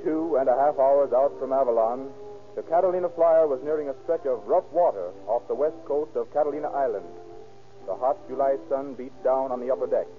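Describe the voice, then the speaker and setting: A man's voice: mid-range voice, fairly quick. An American man reading scripted announcements and narration in an emphatic 1930s radio announcer style. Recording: tinny 1930s radio recording, music behind.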